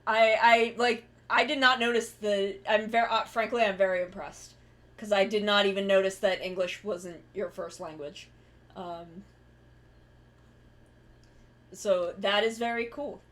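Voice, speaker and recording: Woman streamer, casual chat, rambling, restarts sentences, swears now and then, mid pitch. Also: steady tone, moderate pace, fairly bright tone. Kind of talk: casual chat while drawing.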